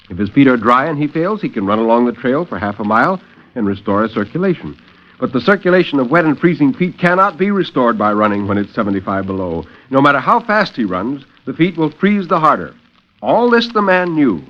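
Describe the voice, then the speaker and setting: A man narrating a dramatic horror story in a measured, grave, theatrical 1950s radio style. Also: medium pitch. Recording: dull vintage 1950s radio recording.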